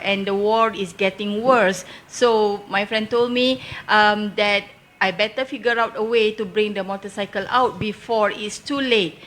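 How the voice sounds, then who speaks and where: A woman with a Malaysian accent answering as an interview guest, telling travel stories step by step in halting, unscripted English. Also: medium pitch, a bit bright, a little hiss or hum.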